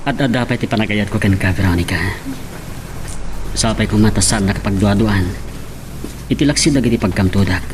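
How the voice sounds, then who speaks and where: A man's voice: on the low side, fairly quick, pauses often, noisy background, natural voice. A man with an Ilocano Filipino accent playing a devoted suitor in a radio love drama. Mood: tender, wistful. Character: devoted male suitor courting the woman he loves.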